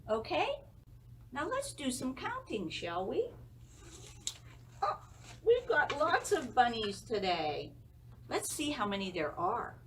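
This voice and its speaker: Very slow, sing-song woman, distant mic. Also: fairly high pitch. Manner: sing-song, addressing small children with questions. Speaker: children's library story time host. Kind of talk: leading letters and counting for young children. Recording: distant mic with faint background noise.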